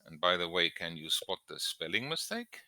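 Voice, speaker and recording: A man speaking as an English teacher giving exam tips in an emphatic, deliberate instructional style, stressing key words. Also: sits low, sing-song, pushed and bright, no echo. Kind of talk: instructional lesson to camera.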